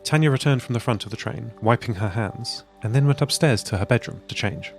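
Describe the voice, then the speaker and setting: A man narrating an audio drama in a calm, measured way. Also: medium pitch, gravelly, soft background music.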